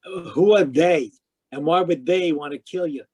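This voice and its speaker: A man's voice: a little high, moderate pace, darkish tone, slightly rough. An actor playing a wry, inquisitive male private detective in a radio drama, telling his story in hard-boiled style. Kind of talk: radio detective drama, first-person narration and dialogue. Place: live remote performance over video call.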